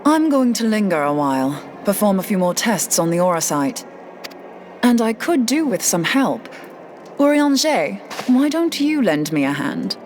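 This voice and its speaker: A woman's voice: medium pitch, big pitch swings, measured pace, darkish tone, slight background noise. A woman playing a blunt, wry young scholar in a fantasy game, speaking in a plain, colloquial way. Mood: brisk. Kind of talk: fantasy game cutscene acting.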